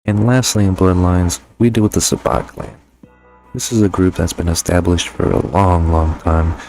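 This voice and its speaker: Deep-voiced man reviewing a game, music behind. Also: moderate pace, vocal fry, darkish tone, rough recording. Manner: conversational, slangy, profane. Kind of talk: casual scripted game review voice-over.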